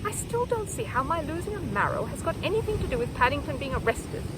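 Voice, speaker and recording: A woman's voice: high voice, big pitch swings, fast talker, heavy background noise, voice pushed up. A woman voicing a tired, puzzled, grumbling married man in a children's storybook. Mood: puzzled, indignant.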